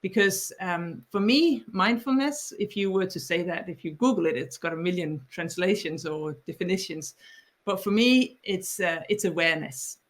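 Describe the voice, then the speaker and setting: A woman's voice: lowish voice, normal speed. A woman in her forties from Denmark with a Danish accent, explaining her ideas as a podcast guest, thoughtful, often restarting and repeating words. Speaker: guest author. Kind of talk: podcast interview.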